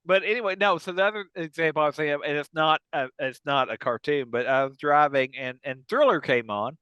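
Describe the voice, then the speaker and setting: Middle aged guy on a comedy podcast, bit high pitched, rambles on, very dry room. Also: brisk, sharp tone, clear, resonant tone, no echo, highs cut off. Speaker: podcast co-host. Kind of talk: casual comedic chat.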